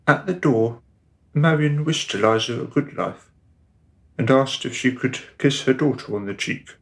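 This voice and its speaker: A man narrating a radio play from a script in a measured storytelling manner. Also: mid-range voice, vocal fry.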